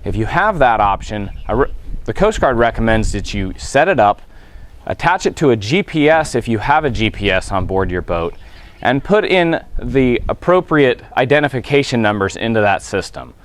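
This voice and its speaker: A man's voice: mid-range voice, sing-song, normal speed. A man speaking as a Coast Guard officer, explaining safety procedures to boaters in a plain-spoken, official manner. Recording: clear background noise, ambient sound.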